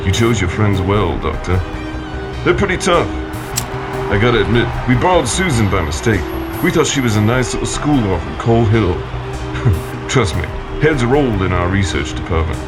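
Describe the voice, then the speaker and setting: A man's voice: on the low side, sing-song, moderate pace. A man playing a flippant, mocking villain who talks like a casual businessman in an audio drama, with dramatic music behind. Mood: flippant gloating. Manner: mocking, chuckling, casual slangy taunts. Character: smug, flippant male villain, cold-blooded businessman. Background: dramatic incidental music.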